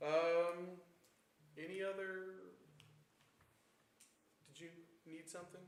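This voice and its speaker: A man chairing a town council meeting, brisk and procedural, often trailing off mid-sentence. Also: high-pitched, level tone. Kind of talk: running a public meeting. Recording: echoey room with people around.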